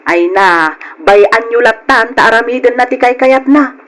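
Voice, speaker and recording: A woman's voice: fairly high pitch, unhurried, fairly bright tone. A woman acting a concerned grown daughter in an old-style radio drama. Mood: questioning, resigned.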